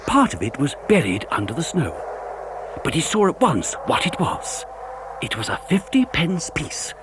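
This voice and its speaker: A man's voice: on the high side, huge pitch swings, normal speed, background noise. A man narrating a children's story as dramatic scripted storytelling.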